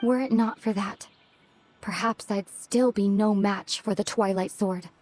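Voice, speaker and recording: Music plays clearly behind a woman playing a young warrior princess in a game drama. Her mid-pitched voice is gentle and composed. She speaks reflectively, with a wistful calm. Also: measured pace, natural voice. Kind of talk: dramatic video game dialogue.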